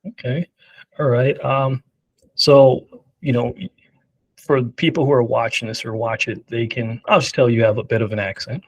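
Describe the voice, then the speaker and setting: A man's voice: mid-range voice, fairly even intonation, moderate pace, low-quality recording. A man hosting an interview, asking questions in a casual way with lots of fillers.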